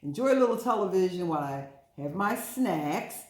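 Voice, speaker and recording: A woman's voice: lowish voice, animated, a little fast, darkish tone, echoey room, close to an everyday voice. A woman storyteller voicing a fed-up, sassy female homeowner in a children's storybook. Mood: weary, exasperated.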